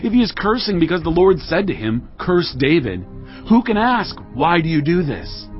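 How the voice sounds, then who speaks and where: A man's voice: on the high side, sing-song, moderate pace. An actor playing a weary, humble but commanding exiled king in a dramatized audio Bible. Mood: grieved, resigned. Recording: dull audio over music bed.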